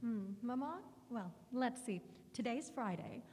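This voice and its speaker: A woman acts out a sly young female houseguest in a 1940s radio play. Her voice is fairly high, coy and airy, always protesting innocence. It carries the long echo of a hollow room. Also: animated, unhurried, warm tone. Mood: airy, evasive. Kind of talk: 1940s radio drama acting.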